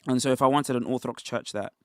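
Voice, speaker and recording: A man from Nigeria hosting a casual commentary livestream, talking in an animated, rhetorical way with laughs between points. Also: mid-range voice, fast, no room sound, studio quality. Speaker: livestream host.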